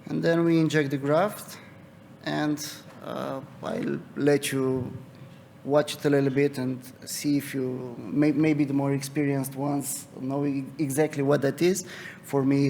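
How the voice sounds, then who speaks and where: A young man from Romania with a Romanian accent, a cardiologist presenting a case at a medical conference in a halting, hesitant way. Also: mid-range voice, creaky, warm tone. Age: young adult. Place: conference hall. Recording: reverberant hall, noticeable background noise.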